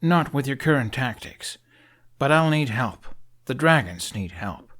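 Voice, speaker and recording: A man voicing an earnest, irritable young Viking in an audiobook, speaking in a halting, insistent, exasperated way. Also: medium pitch, expressive, a bit bright, voice pushed up. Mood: stressed, insistent. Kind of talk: audiobook character acting.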